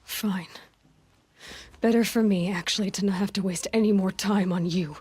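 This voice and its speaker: An actress playing a stubborn, wry young outcast huntress with a vulnerable, earnest side, in a dramatic video game. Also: female voice, mid-range voice, fairly even intonation, moderate pace, darkish tone, husky, natural voice. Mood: bitter.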